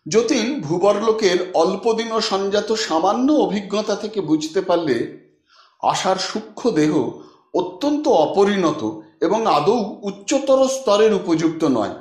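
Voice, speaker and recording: A man narrating a literary audiobook expressively, with dramatic, deliberate phrasing and pauses. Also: high voice, lively intonation, a little mellow, roomy sound.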